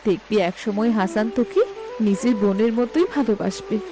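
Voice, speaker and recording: A woman's voice: mid-range voice, fairly quick, over music, lightly acted. A woman playing a patient middle-aged mother in a melodramatic cartoon story, with a plaintive, pleading and soothing delivery. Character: gentle, long-suffering middle-aged mother and stepmother.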